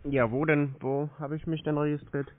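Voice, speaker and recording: A man's voice: a little high, little pitch movement, normal speed, slight background noise. A man casually but curtly challenging callers, often answering with questions of his own. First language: German. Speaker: scam baiter taking calls. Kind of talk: casual phone conversation. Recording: lo-fi phone call recording.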